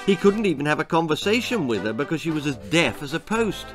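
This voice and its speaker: A man in his sixties reading a children's story aloud as its author, in expressive narration. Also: on the high side, brisk, soft background music. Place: book-lined room indoors.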